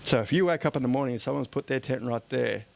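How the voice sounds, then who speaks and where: A man's voice: mid-range voice, fast talker, vocal fry, darkish tone. A man with an Australian accent chatting casually as a podcast host, blunt and joking as he rambles along. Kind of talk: casual chat.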